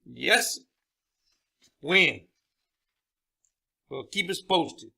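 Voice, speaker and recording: A man playing a brisk, commanding senior military officer in an audio drama, with a clipped, authoritative manner. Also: a little high, lively intonation, creaky, background noise, exaggerated voice. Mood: serious, urgent.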